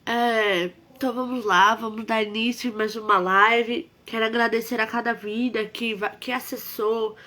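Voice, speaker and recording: A woman's voice: a little high, fairly even intonation, normal speed, hardly any pauses, sharp tone, faint music behind. A woman hosting a live worship stream, speaking earnestly and repeating herself for emphasis while congested with a cold. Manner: earnest, repetitive, emphatic exhortation. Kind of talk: devotional live-stream talk.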